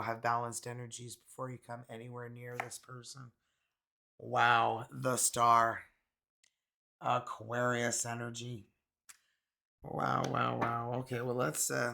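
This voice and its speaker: A man from Canada with a raspy, hoarse voice, still getting over being sick. He talks his way through a tarot reading in a loose, musing manner, full of fillers. His mid-pitched voice has a gravelly fry to it. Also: flat delivery, slow pace, pushed and bright. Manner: chatty, musing, many fillers. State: hoarse, recovering from illness. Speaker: tarot reader. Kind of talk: casual tarot card reading.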